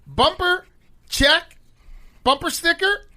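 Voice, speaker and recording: Man doing an excitable, high-pitched cartoon sponge. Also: slow pace, exaggerated voice. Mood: eager. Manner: excitable, exclaiming. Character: eager naive high-pitched male cartoon sponge fry cook.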